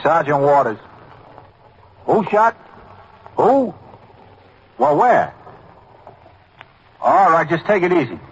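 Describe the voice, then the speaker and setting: An actor playing a brisk, insistent police sergeant steadying an emergency caller in a 1950s radio drama. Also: male voice, a little high. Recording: noisy old radio drama recording.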